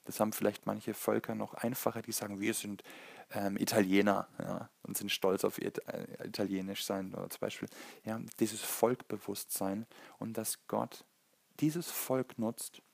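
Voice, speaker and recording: A man from Germany, preaching in an earnest, halting way as he searches for words. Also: lowish voice, fairly even intonation, vocal fry, darkish tone, husky, no echo. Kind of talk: sermon, Bible teaching.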